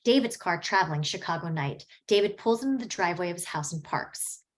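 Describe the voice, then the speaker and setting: A woman reads out the scene headings and stage directions of a script. Her voice is somewhat low and her reading steady and plain. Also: brisk. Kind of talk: table read narration.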